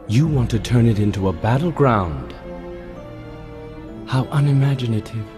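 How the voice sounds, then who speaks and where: An actor playing a haughty royal prince in a video game, speaking in a languid, sardonic and disdainful way. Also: male voice, mid-range voice, animated, normal speed, music in the background, natural voice. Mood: cool disdain. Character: haughty royal prince, dry, bored and mocking. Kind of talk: video game cutscene acting.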